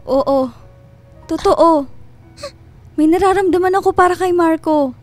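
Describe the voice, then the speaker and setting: A woman acting in a radio drama as an earnest friend confessing love for a married man. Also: very high voice. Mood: earnest, firm. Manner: earnest, deliberate. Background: background music.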